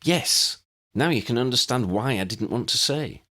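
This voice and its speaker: Guy doing a cheeky bossy young woman in a storybook, voice lifted higher. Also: lively intonation, normal speed, pushed and bright. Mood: snappy. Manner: teasing, bossy. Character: spirited, teasing young woman with a sharp tongue.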